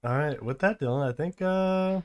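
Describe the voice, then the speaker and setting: A man's voice: a little high, normal speed, clean tone. A man hosting a casual album-review podcast, talking loosely with lots of fillers and playful asides, his voice worn from a long session. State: losing his voice. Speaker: podcast host. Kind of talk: casual music review chat.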